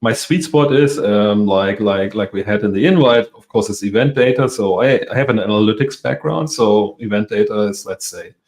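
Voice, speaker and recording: A man's voice: fairly low pitch, moderate pace, few pauses, darkish tone. A man from Europe, a native German speaker, talking as a guest in a casual interview, hesitant with self-deprecating laughs.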